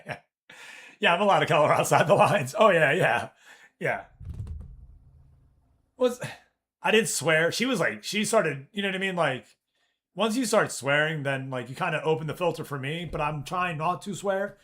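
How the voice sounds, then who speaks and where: A man streams from his office, chatting loosely with viewers and retelling a run-in with a customer. He talks in an animated, profane, storytelling way, and his voice sits a little higher than you'd expect for someone who calls it deep. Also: moderate pace, long pauses. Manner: animated storytelling, swears, reads chat aloud. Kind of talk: casual chat with live chat.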